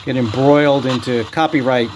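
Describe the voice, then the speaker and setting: A man giving a casual hands-on product review as the host, speaking in a hesitant, halting way. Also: mid-range voice, fairly even intonation, noisy background.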